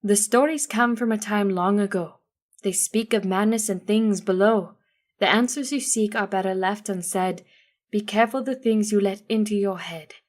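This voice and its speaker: Woman playing wise female storybook zebra healer, mid pitch, cryptic, measured rhyming delivery. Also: fairly even intonation, natural voice. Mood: solemn. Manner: measured, speaking in rhyming couplets.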